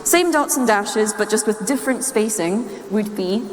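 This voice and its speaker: A woman's voice: mid-range voice, animated, moderate pace, a little hiss or hum. A woman from Scotland with a Scottish accent gives a playful, humorous, nerdy talk to a live audience. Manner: playful, chuckles at own wordplay. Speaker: speaker giving a talk. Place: large indoor room or hall, live talk. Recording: echoey hall with long reverb.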